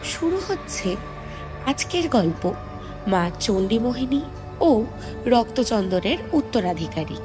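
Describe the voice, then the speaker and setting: A woman with a Bengali accent introduces an audio story as a scripted, dramatic presenter. Her voice is high and very slow, set over prominent music. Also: big pitch swings.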